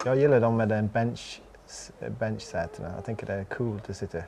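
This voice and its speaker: A man's voice: lowish voice, vocal fry, a little mellow, slight background noise. A middle-aged man co-hosting a casual car chat with brief, easygoing remarks. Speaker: co-host. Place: small studio with a brick wall.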